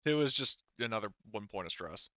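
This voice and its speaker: A man speaking casually and haltingly as game master of a tabletop roleplaying game, thinking aloud as he goes. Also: fairly low pitch, animated, pushed and bright, no room sound, lo-fi sound.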